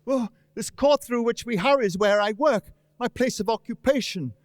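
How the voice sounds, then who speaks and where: Male actor as old miser, very high pitch, fearful, imploring, theatrical radio drama, dry sound. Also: normal speed, no echo. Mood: anxious. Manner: imploring, declamatory. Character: frightened, pleading old miser, desperate to repent. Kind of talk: theatrical radio play acting.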